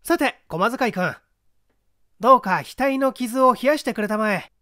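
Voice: medium pitch, lively intonation, moderate pace, bright, forceful tone, dry recording, studio quality, pitched above the performer's own voice. A reader playing a confident young male detective, wry and commanding, in an audiobook mystery. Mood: cool, wry.

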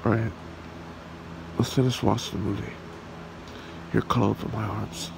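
A man playing a smitten, shy red dragon boyfriend in an ASMR roleplay, speaking in a halting, tender way. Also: fairly low pitch, gravelly. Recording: steady background hiss or room hum.

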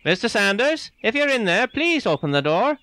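Male cop for radio play: take-charge, avuncular, patronizing, very wide swooping pitch. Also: sing-song, normal speed, noisy background. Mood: brisk, commanding. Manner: avuncular, informal. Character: folksy, patronizing male police officer, briskly take-charge. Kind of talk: audio drama acting.

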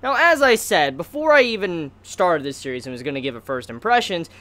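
A man's voice: on the high side, sing-song, a little fast, fairly bright tone. An American man in his twenties giving a casual, rambling review to camera with emphatic stresses. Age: 20s. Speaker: anime reviewer. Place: small indoor room lined with bookshelves.

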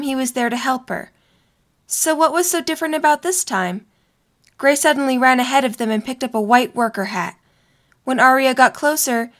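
Young woman narrating a story from a script, voice a bit on the high side. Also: fairly bright tone.

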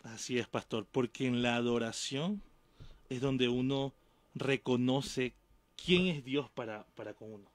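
A young adult man, a guest pastor, explaining calmly at a desk mic in a small studio, trailing off softly at the ends of phrases. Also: medium pitch, measured pace, no echo. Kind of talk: explanatory talk-show conversation. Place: small studio with painted backdrop. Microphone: desk mic on stand.

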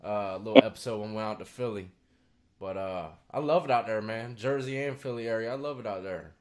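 A man's voice: lowish voice, fairly quick, a little mellow. A man in his thirties with an American accent, hosting a show in a casual, slangy, informal way. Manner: informal, slangy, addresses listeners as "man" and "y'all". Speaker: host of a story-time video show. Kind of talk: casual chat. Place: small room with gaming setup at home.

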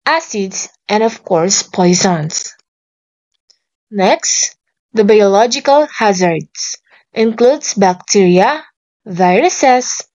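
Woman teacher, measured list-reading delivery, unhurried, slightly muffled home recording. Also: mid-range voice. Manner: slow, deliberate reading of lists. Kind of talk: reading lesson slides aloud.

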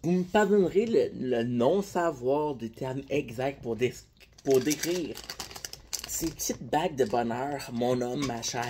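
A man's voice: medium pitch, a little mellow, slight background noise. A young man with a Québécois French accent, chatting casually in a candy-tasting vlog, rambling and joking with his viewers, recorded on a phone at arm's length in a small room. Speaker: vlogger. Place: small room indoors at night.

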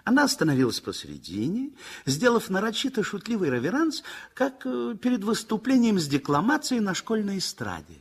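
An older man narrating a 1960s radio play in an expressive storytelling manner. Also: high voice, brisk, slightly rough. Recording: old radio play with faint music.

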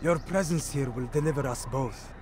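An actor playing a bitter but loyal assassin in a video game, speaking with formal, resolute intensity. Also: male voice, mid-range voice, moderate pace, background noise, close to an everyday voice. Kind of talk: dramatic video game acting.

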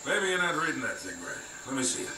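An actor playing a gruff, wry older treasure hunter, the hero's world-weary mentor, in a video game. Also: male voice, medium pitch, sing-song, fast, distant mic, over a noisy crowd, lightly acted. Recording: game audio played in an echoey room, music behind.

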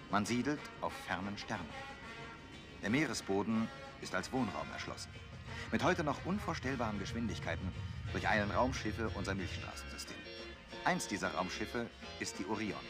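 A man narrating a 1960s science fiction television series in a measured, solemn way. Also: on the low side, lively intonation, natural voice. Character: solemn male narrator of a futuristic space saga. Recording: 1960s TV soundtrack, loud music. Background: loud music.

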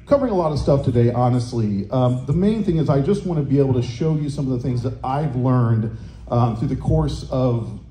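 A middle-aged man giving a conference talk on a handheld microphone in a hotel meeting room, loud and booming, with many fillers and restarts. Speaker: conference presenter. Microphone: handheld microphone through room speakers. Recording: echoey conference room, faint noise.

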